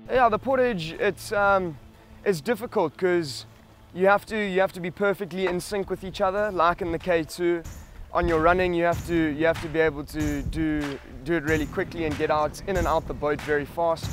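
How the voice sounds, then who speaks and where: Young guy athlete interviewed outside, slightly high voice, music under it. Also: steady tone, normal speed. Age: young adult. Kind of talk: casual interview answer. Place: outdoors by a lake. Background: background music.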